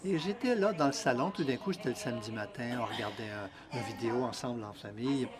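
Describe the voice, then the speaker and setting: Guy doing live interpretation at a seminar, mid-pitch voice, steady first-person delivery, audience noise behind him. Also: fast, a crowd in the background. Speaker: interpreter and emcee.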